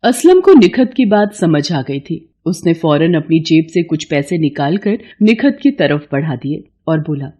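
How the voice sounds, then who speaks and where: A woman's voice: low voice, sing-song, unhurried, hardly any pauses, dark tone, dull audio. A woman reading scripted narration for a moral story as its narrator.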